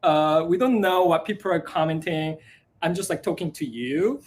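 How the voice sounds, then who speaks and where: A man with a Korean accent, a co-writer talking in a casual live stream, hesitant and soft-spoken, often pausing on "uh" and laughing easily. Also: on the high side. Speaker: co-writer of a musical.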